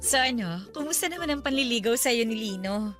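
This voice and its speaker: An actress playing a chirpy, boastful matchmaking friend in a radio drama, teasing and emphatic, with a Filipino accent. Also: female voice, a little high, lively intonation, a little fast, music in the background, lightly acted. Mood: encouraging, proud.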